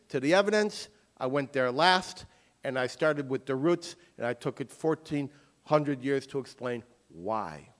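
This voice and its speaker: A man giving an emphatic lecture as an author and taking audience questions. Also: fairly high pitch. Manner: emphatic, forceful.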